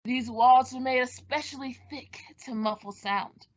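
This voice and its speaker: A woman's voice: sits high, fairly even intonation, measured pace. A woman acting a sly villainous man who poses as a polite helper in a radio thriller, with theatrical delivery. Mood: gloating menace. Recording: dull lo-fi audio.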